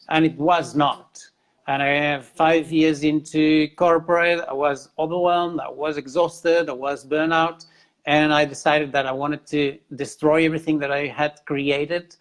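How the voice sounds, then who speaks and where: Spanish-accented man telling his story earnestly. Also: on the high side. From Spain, Catalonia. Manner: earnest, halting restarts, repeats words. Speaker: interview guest. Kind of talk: casual interview storytelling.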